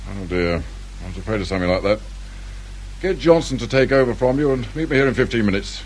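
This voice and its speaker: An actor playing a dry, businesslike, world-weary English police inspector in a British radio drama. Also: male voice, on the low side, animated, quick pace, close to an everyday voice, rough recording. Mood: wry, businesslike. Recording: faint noise behind radio drama recording.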